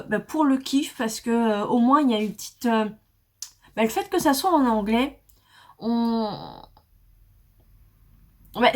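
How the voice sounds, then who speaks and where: A woman reviewing her manga in a casual, hesitant way, with many fillers and restarts. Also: fairly high pitch. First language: not English. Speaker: manga vlogger. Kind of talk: casual book review chat.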